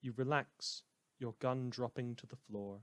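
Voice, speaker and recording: A man playing a wry community radio host in a fan audio drama, delivering scripted narration in a measured, theatrical deadpan. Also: mid-range voice, close to an everyday voice. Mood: ominous, hushed. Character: wry male community radio host telling eerie tales.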